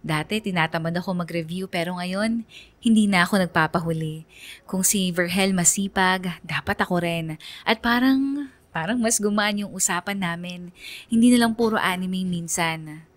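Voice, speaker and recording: A woman's voice: fairly low pitch, moderate pace, no room sound, close to an everyday voice. A woman narrating in the first person as a reflective young woman in love, confiding as in a radio drama letter. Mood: reflective, hopeful.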